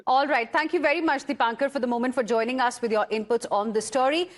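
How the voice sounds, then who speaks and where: A woman's voice: a little high, fast talker. A woman with an Indian accent anchoring live television news in an emphatic way.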